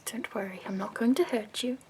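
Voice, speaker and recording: Prim fussy capital makeup lady, as a woman puts her on, chirpy high whispers, asmr roleplay. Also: fairly quick, darkish tone, a little hiss or hum, lightly acted. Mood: prim, soothing. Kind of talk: soft-spoken ASMR roleplay.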